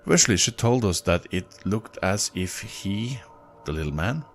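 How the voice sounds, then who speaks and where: Low-voiced Norwegian man reading accounts. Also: lively intonation, a little hiss or hum. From Norway. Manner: unhurried, halting in asides, chuckles at own slips. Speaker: narrator of UFO reports. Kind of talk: reading accounts aloud with casual asides.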